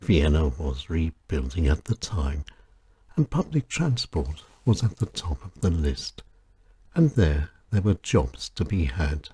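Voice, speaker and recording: A man with a deep, low voice narrates short stories at an unhurried pace. His delivery rises and falls expressively, and his tone is mellow with a slightly husky edge. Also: very animated. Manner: gentle, measured storytelling with lilting emphasis. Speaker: storyteller.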